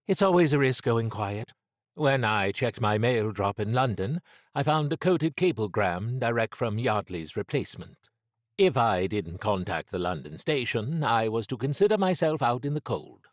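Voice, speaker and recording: A man's voice: mid-range voice, expressive, moderate pace, dry recording, pitched above the performer's own voice, lightly acted. A male narrator plays a wary woman secret agent from a noir audiobook. The voice is lifted above his natural register, clipped and wry in its understatement. The recording is dry and close. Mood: uneasy, confiding. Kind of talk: audiobook character dialogue.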